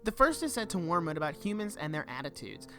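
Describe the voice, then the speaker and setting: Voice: medium pitch, sing-song, fast talker, pushed and bright. A young adult giving an earnest, reflective scripted narration for a video essay. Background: background music.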